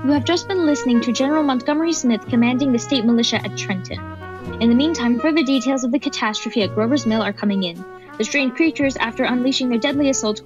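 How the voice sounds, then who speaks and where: A woman's voice: a little high, close to an everyday voice. A woman playing an urgent, formal news announcer in a radio play. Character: brisk female radio news announcer relaying disaster bulletins. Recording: dull lo-fi sound, music behind.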